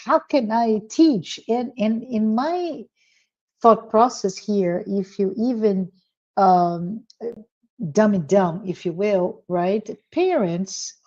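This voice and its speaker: Slow, mellow woman. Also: mid-range voice, dull audio. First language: Portuguese. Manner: reflective, self-deprecating, many pauses. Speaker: podcast co-host. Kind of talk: casual spiritual discussion.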